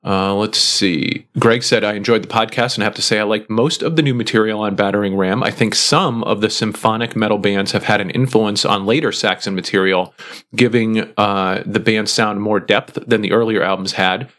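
Podcast co-host, male, lowish voice, easygoing reader who pauses often. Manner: relaxed, many "uh" pauses while reading. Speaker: podcast co-host reading listener feedback. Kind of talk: casual chat, reading comments aloud.

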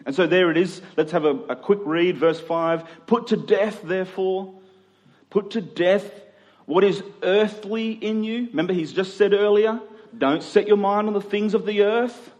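Higher-voiced male preacher in a reverberant hall. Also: moderate pace, darkish tone. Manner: rhetorical questions, emphatic repetition. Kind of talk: expository sermon, conversational.

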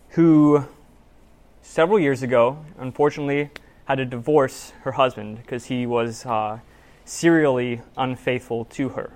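A man delivers a sermon in a church sanctuary, reading scripture and then telling a story. He sounds earnest, measured and conversational. His voice sits at an ordinary middle pitch. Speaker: preacher.

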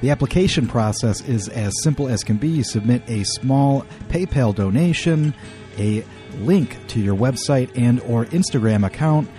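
A man's voice: mid-range voice, animated, normal speed, warm tone, soft background music. A man hosting a podcast in a conversational, announcer-like way. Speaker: podcast host.

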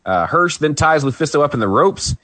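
A man's voice: fairly high pitch, sing-song, fairly quick, a bit bright, dry recording. A man co-hosting a podcast, recapping a wrestling match in casual chat, rambling with fillers and restarts. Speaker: podcast co-host.

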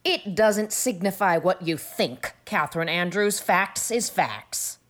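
Woman voicing a low-pitched, sour older spinster. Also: very animated, normal speed, a bit bright. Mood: contemptuous. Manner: grim, contemptuous, complaining. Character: grim, sour fifty-seven-year-old spinster, a born pessimist. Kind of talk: audiobook character dialogue.

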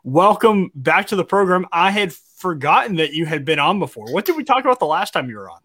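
A man's voice: high voice, very animated, fairly quick, bright, forceful tone, slightly rough, dry recording. A man co-hosting a live talk show, opening with teasing, jokey banter. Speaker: podcast co-host.

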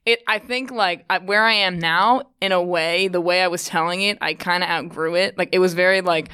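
Female comedian guest from the London suburbs, smooth clear voice sitting slightly low, informal chat. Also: steady tone, moderate pace, pushed and bright. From grew up outside London, UK. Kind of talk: casual conversational interview.

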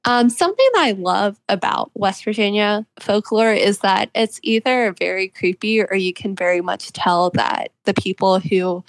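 A woman's voice: medium pitch, normal speed, hardly any pauses. A young adult woman talking casually as an interviewed author, agreeable and earnest in manner.

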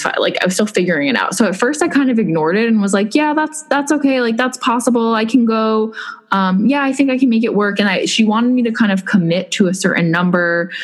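A young woman in casual chat, telling a personal story in a rambling way with many fillers and restarts. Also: medium pitch. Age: young adult. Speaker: blogger recounting personal experience.

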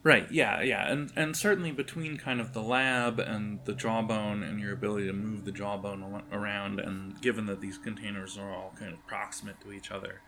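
A man narrating an improvised tabletop game as game master, in a halting, conversational way, speaking directly to his players. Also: lowish voice, fairly even intonation, pushed and bright, a little hiss or hum.

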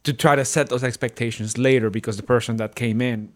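A man's voice: medium pitch, steady tone, brisk. Explanatory man in casual podcast talk. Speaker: theater company member and podcast co-host.

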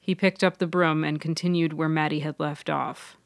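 A woman reading scripted fiction as narrator, measured and articulate. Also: low voice, fairly even intonation, vocal fry, dry recording. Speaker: author and narrator.

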